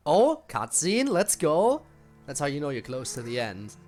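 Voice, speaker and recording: Wry game streamer, very animated pitch. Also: slow, soft background music. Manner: wry, quippy asides. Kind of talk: casual livestream gameplay commentary.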